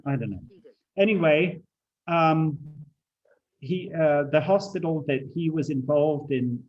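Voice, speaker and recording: A man in his seventies who grew up in China, recalling his life in relaxed talk with many fillers and restarts over a video call. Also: on the high side, husky, muffled recording. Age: 70s. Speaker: guest speaker. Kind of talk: relaxed conversational recollection.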